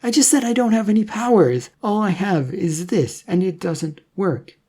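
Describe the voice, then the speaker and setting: A narrator voicing a weary, defensive, reluctant male hero with a halting, protesting manner in a story. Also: mid-range voice, lively intonation, dark tone, breathy edge, voice pushed up.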